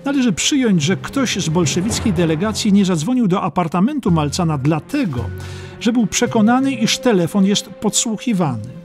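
A man's voice: sits high, sing-song, moderate pace. A man giving scripted narration of a historical documentary audiobook. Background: music.

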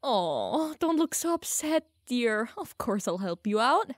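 A woman's voice: on the high side, big pitch swings, a little slow, dry recording, clean studio sound. A young woman playing a teasing, smug, secretly lovestruck elf mage in an audio roleplay, playful and easily flustered. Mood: amused, teasing. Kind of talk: audio roleplay addressed to the listener.

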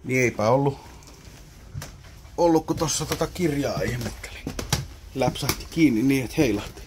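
A man at an ordinary mid pitch mutters and wonders aloud as he picks through an abandoned wooden cabin. His loose, casual commentary comes through a handheld camera mic. A faint hiss sits under the voice. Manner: muttering, wondering aloud while poking around. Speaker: abandoned-places explorer. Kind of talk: casual exploration vlog commentary.

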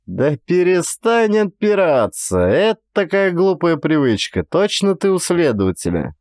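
A man's voice: high voice, very animated, unhurried, a little mellow, no echo, clean studio sound, voice pushed up. A man voicing a jovial, needling fellow who teases and presses his companion, in a dramatised audiobook. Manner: teasing, mirthful, pressing. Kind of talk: dramatised audiobook character acting.

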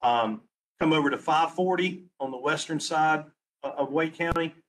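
A man presenting on a webinar as a transportation planner, losing his voice and talking haltingly, restarting his words. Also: on the high side, a bit bright, a little hiss or hum, poor audio. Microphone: video call.

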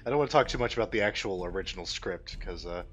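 A man's voice: low-pitched, expressive, fast, a bit bright, muffled recording, soft background music. A man giving casual, sarcastic video commentary full of exclamatory asides.

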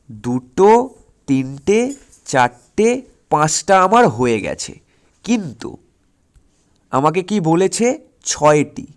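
A man teaching exam preparation, explaining step by step and often stopping to check that students follow. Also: fairly high pitch. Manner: instructive, often checks understanding with short questions; repetitive, step-by-step counting and listing.

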